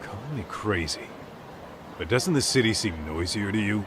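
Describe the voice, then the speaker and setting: A man's voice: lowish voice, animated, normal speed, noisy background, close to an everyday voice. An actor playing a brash, streetwise male detective in a game dub, teasing and blunt, with taunting slang. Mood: suspicious. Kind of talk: dubbed video game cutscene acting. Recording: music behind the voice.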